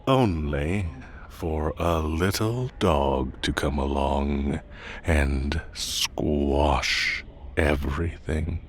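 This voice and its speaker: A man's voice: rumbling low, drawn-out words, a little hiss or hum, exaggerated voice. A man playing a haughty, teasing fae forest king in an audio roleplay, with a condescending, theatrical drawl. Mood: mock-disappointed, teasing. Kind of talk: audio roleplay acting addressed to the listener.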